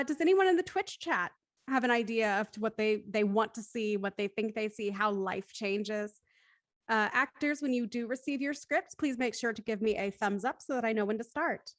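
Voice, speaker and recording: A woman's voice: a little high, no room sound, clean studio sound. A woman hosting a live-streamed show in a casual, chatty and playful way. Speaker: host of a live script-reading show.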